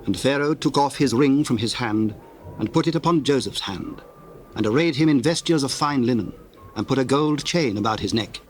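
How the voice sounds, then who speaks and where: A man narrating scripture in a solemn, measured, formal way with theatrical diction. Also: medium pitch, soft background music.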